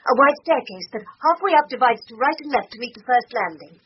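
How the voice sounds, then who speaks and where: Woman playing exacting english wife, middle aged, crisp n really lively, dull old radio sound. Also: on the high side, fast, lightly acted. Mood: wondering, certain. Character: assured, exacting English wife nearing retirement. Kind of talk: radio drama acting. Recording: dull, faintly noisy old radio recording.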